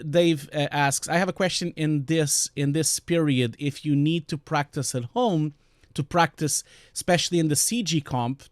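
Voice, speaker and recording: A man's voice: a little high, no echo, studio quality. A man with a Portuguese accent chatting casually on a live stream, answering questions, rambling with many fillers and restarts. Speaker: streamer and compositing teacher.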